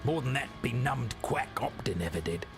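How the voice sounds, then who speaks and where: A gruff male survivor from a fantasy video game. His voice is gravelly and mid-pitched, wry and scornful, with clear music behind him. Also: animated, moderate pace. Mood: wry approval. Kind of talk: scripted fantasy game voice acting.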